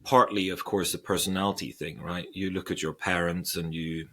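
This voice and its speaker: A low-pitched man in his forties from Sweden, speaking as a guest in an interview. He answers slowly and thoughtfully, often restarting his sentences. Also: fairly even intonation, a bit bright. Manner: thoughtful, hesitant, many restarts. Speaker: investor guest.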